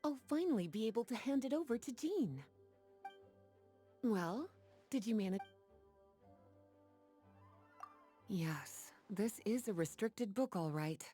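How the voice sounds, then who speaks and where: A woman playing a poised, knowledgeable fantasy librarian in a video game, explaining things in a composed, gently teasing way. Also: fairly high pitch, expressive, measured pace, soft background music. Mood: amused, relaxed.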